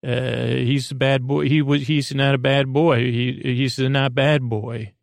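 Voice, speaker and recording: A man with a noticeably creaky voice talks softly reassuring nonsense as a bedtime podcast host. He wanders off on tangents, stops and restarts his sentences, and keeps a friendly, gentle manner. The sound is dry, with hardly any room in it. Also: medium pitch, little pitch movement, a little slow, no room sound. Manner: hesitant, digressive, often restarting mid-sentence. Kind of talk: rambling, meandering monologue to lull listeners to sleep.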